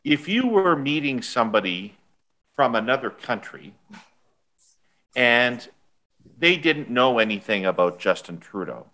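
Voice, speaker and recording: A man leads a focus group over a video call. His voice is bright and sharp, and its pitch moves around a lot as he puts questions to the participants in an upbeat way. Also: big pitch swings, a little slow, long pauses. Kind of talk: moderating a discussion.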